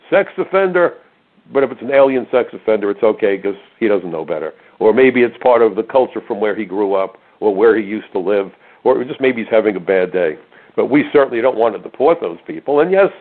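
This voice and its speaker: An older man hosting a radio talk show, telling stories emphatically with sarcastic asides. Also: medium pitch. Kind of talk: conservative talk radio monologue. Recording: narrow phone-like radio audio.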